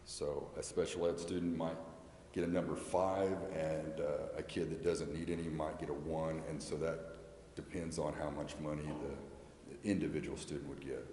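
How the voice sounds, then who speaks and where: An American man answering questions at a public meeting as a school representative, explaining haltingly and repeating words. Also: very deep voice, dark tone. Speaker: school representative addressing a city council. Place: large indoor council chamber. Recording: echoey meeting hall with background noise.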